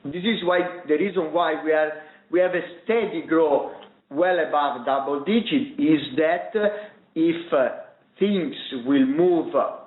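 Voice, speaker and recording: Halting man on an echoing phone line. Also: a little high. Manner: halting, searching for words. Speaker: company executive. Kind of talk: unscripted answers on an earnings conference call. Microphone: conference call line.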